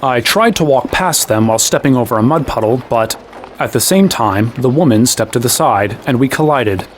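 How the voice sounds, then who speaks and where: A man's voice: medium pitch, lively intonation, moderate pace, a little hiss or hum, lightly acted. An actor playing a young man who narrates his own story in scripted first person. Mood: measured narrating.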